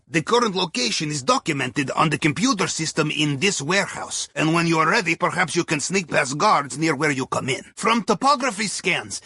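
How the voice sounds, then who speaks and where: A wry, jovial Russian man planning heists in a video game. His voice is high and husky, with very animated intonation. He chats in droll, folksy broken English with a thick accent. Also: no echo, studio quality, heavily acted. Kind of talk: video game mission dialogue over radio.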